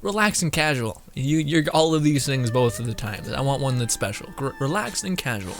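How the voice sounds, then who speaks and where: A woman's voice: very deep voice, lively intonation, brisk, faint music behind. A woman game streamer giving casual live commentary, muttering reactions to herself.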